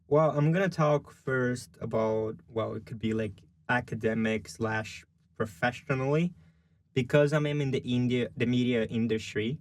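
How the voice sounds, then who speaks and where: A man's voice: medium pitch, unhurried. A young man from Brazil with a Brazilian Portuguese accent, a journalism student answering interview questions casually, full of "you know" and "like". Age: young adult. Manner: chatty, lots of fillers like "you know" and "like".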